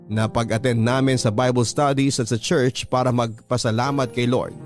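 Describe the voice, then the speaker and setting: A Filipino man narrates a radio love story in a solemn, measured style. His voice is mid-pitched, with music clearly playing behind it. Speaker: storyteller host reading a listener's letter. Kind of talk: dramatic scripted radio narration. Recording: music bed under the voice.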